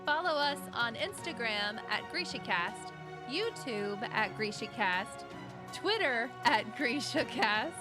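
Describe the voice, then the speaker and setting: A woman co-host chimes in with short, agreeing replies in a slow, unhurried way. Her voice sits a little high, with music clearly playing underneath. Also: expressive. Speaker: podcast co-host. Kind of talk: casual podcast chat.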